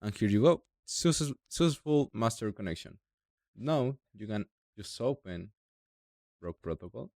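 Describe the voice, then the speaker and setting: A young man from Mexico with a Mexican Spanish accent, speaking halting non-native English as he walks through a software tutorial step by step. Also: lowish voice, animated, no room sound, clean studio sound. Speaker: tutorial creator. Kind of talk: screen-recorded software tutorial.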